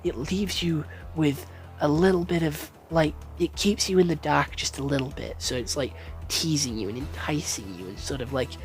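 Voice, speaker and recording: A man giving a casual, rambling series review. He swears often, gets excited, and stumbles over words at times when he talks fast. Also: a little high, soft background music.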